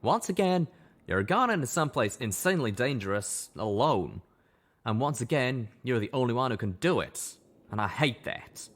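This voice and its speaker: A man's voice: medium pitch, sing-song, moderate pace, a bit bright, pitched above the performer's own voice. A man voicing a blunt, loyal male pegasus pony with a folksy drawl. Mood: blunt, unhappy. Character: male cowboy-like pegasus pony, plain-spoken loyal friend.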